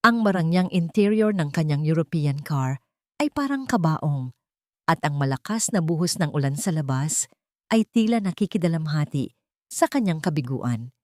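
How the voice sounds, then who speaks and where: A woman with a Filipino accent reading a dramatic story as an audiobook narrator, solemn and expressive with deliberate pauses. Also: low voice, dry recording, clean studio sound.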